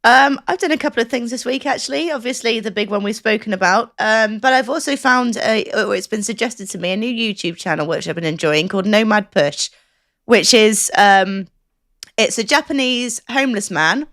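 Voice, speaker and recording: A woman's voice: a little high, normal speed, a bit bright, no echo, clean studio sound. A woman co-hosting a podcast in casual chat, talking in a rambling, hesitant, conversational way. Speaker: podcast co-host. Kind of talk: casual chat, reviewing what she has watched.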